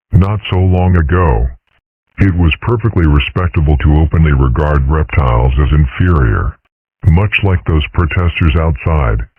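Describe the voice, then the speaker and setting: Dragon dad for cartoon dub male actor: extremely low, measured deadpan lecturer, dryly mischievous, tinny phone-like audio. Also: husky, no room sound. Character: calm philosophical father dragon, dryly mischievous. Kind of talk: comic dub voice acting. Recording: muffled, phone-like narrow sound.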